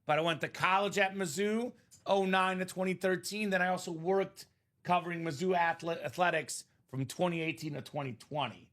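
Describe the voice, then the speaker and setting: Male card break host, thirties, slightly high, bright voice, friendly sales patter. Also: normal speed. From Chicagoland area, born in Bulgaria. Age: 30s. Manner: chatty, salesy, addresses viewers by name. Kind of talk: casual live-stream chat.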